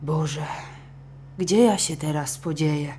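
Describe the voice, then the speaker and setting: A woman's voice: deep voice, slow pace, dark tone, a little hiss or hum. A woman voicing a meek, downtrodden poor fisherman in a children's storybook, timid and pleading.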